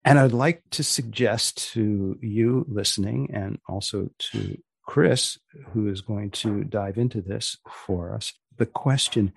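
A man with a low, creaky voice hosts a podcast in a deliberate, deadpan manner. His tone is husky, and the sound is close and dry. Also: no echo. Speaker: podcast host and magazine editor. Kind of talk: podcast interview with scripted introduction.

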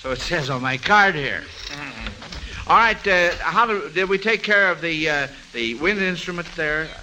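A man's voice: fairly high pitch, animated, measured pace, bright, forceful tone, low-quality recording. An American man hosting a live 1940s radio quiz, encouraging and repeating answers back, on a hissy old recording. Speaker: quiz show host.

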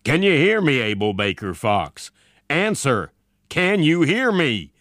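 A man's voice: fairly low pitch, lively intonation, normal speed, fairly bright tone, no echo, clean studio sound. A man voicing an eager, anxious army general who calls out urgently in an audiobook story. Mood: alarmed, commanding. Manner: urgent, calling out. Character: eager, anxious male army general commanding a space project.

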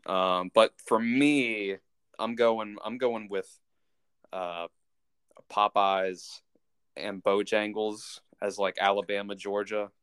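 A man hosting a casual sports podcast, talking in a halting way as he thinks out loud. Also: fairly low pitch, steady tone, clear, resonant tone, dry recording.

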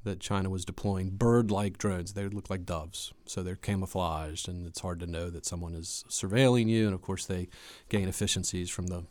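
A man speaking as an expert guest in an interview, explaining in a measured, conversational way. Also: sits low, steady tone, darkish tone, no echo.

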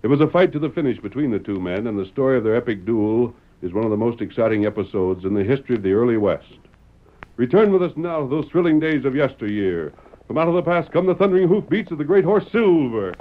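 A man's voice: mid-range voice, animated, quick pace. A man with an American accent narrating an old-time radio western in a grand, declamatory announcer style. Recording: dull vintage radio recording.

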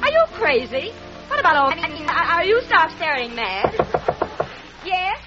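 An actress playing a haughty young society bride with a shrill, imperious manner. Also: female voice, big pitch swings, normal speed. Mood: indignant. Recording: muffled old radio recording with music.

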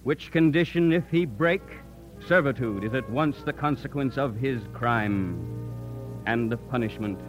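A man's voice: medium pitch, measured pace, music in the background, lightly acted. Man acting a stern, righteous young radio hero. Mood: solemn oratory. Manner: earnest, emphatic, declamatory. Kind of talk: 1950s radio drama acting. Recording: vintage radio drama recording.

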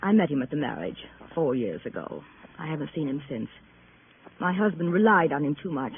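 A woman's voice: deep voice, sing-song, a little fast. A woman playing a bored, sardonic, world-weary wealthy wife in a 1950s radio drama. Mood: bitter confiding. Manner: arch, world-weary, theatrical. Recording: tinny, noisy vintage radio recording.

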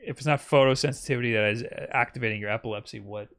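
A man's voice: mid-range voice, racing, a bit bright. Young man, podcast co-host, casual rambling chat, reads comments aloud.

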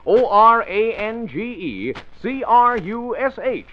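A man's voice: sits high, big pitch swings, slow pace. A man reading scripted narration and announcements in a punchy, emphatic old-time radio style. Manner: punchy, emphatic, polished delivery. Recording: muffled vintage radio broadcast.

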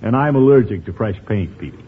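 A man's voice: fairly low pitch, animated, quick pace, a little hiss or hum, natural voice. A man playing a fussy, pompous middle-aged uncle in an old-time radio comedy. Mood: self-important. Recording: tinny vintage radio recording.

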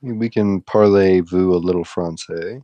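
A man's voice: deep voice, moderate pace, dark tone, dry recording, dull audio. A young man co-hosting a podcast, chatting casually with dry, offhand, blunt opinions. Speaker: podcast co-host. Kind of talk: casual chat.

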